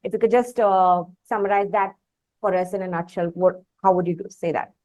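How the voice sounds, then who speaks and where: A woman's voice: mid-range voice, fairly even intonation, a little fast, a little mellow. A woman with an Indian accent interviewing a guest, putting long conversational questions together hesitantly in fragments.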